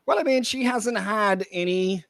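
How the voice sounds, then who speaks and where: Bahamian male co-host, notably high-pitched, dry close studio sound, colloquial banter that doubles back on phrases for emphasis. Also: measured pace, a bit bright, no echo. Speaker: radio co-host. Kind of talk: casual radio banter. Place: radio studio.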